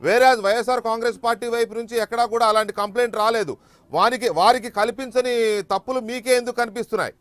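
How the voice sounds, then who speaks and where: A man with a Telugu accent, a news anchor in a studio asking brisk, probing questions in a live interview. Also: very high voice, fairly bright tone, dry recording.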